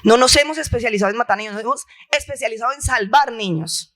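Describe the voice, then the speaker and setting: A woman's voice: fairly high pitch, fast talker, a bit bright. A woman from La Guajira, speaking passionately and with emphatic repetition on a conference panel. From La Guajira, Colombia. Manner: impassioned, emphatic, with rhetorical repetition. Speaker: health insurer leader on a panel. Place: conference hall.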